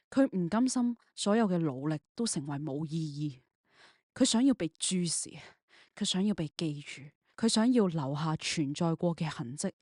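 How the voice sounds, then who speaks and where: A woman's voice: lowish voice, darkish tone, dry recording, studio quality. A woman, narrating a scripted audio drama in the third person.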